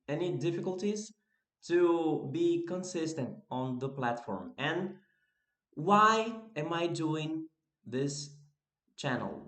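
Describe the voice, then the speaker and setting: A Brazilian-accented man speaks English as a second language in an unhurried, loose monologue, often backing up to restart a phrase. His voice sits fairly high for a man. The room around him rings with noticeable echo. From northeast Brazil. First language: Portuguese. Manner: relaxed, restarts phrases, laughs at himself. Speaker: YouTuber and English teacher. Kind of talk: casual unscripted monologue to camera. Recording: echoey room.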